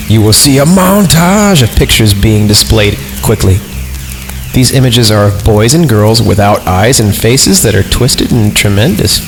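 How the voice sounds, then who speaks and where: A man's voice: on the low side, vocal fry, rough recording. A man reading horror stories on a podcast, breaking off into irreverent, slangy, sweary joking commentary. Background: music.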